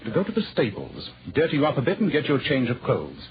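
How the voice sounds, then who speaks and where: A noisy, dulled 1940s radio recording carries a commanding English detective. He is brisk and incisive, with clipped, formal diction and a lively, swooping delivery. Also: mid-range voice, lo-fi sound. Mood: urgent, incisive.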